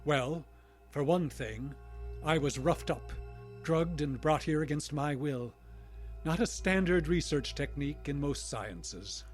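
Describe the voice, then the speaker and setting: A man's voice: fairly high pitch, expressive, slow pace, faint music behind. A man as a defiant captive older scientist. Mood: guarded, resentful. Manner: guarded, terse, resolute. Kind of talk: radio drama acting.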